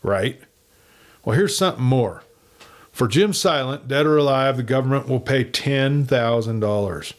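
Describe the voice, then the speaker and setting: A man voicing a shrewd, hard, steady lawman in a western story, with a blunt, commanding manner. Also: medium pitch, measured pace, pitched above the performer's own voice, close to an everyday voice. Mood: businesslike, confident.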